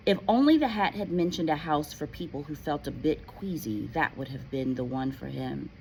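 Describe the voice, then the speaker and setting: A woman narrates a storybook with plenty of playful animation. Her voice sits a little low but moves widely up and down. Noticeable noise runs behind her. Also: animated, normal speed, vocal fry, darkish tone, low-quality recording. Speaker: storybook reader, teacher-like. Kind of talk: expressive read-aloud narration with casual asides.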